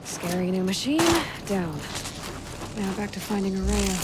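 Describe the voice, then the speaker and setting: A woman's voice: mid-range voice, level tone, unhurried, very noisy, natural voice. An actress playing a determined young female hunter in a video game, talking directly and matter-of-factly, with game music behind. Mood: focused.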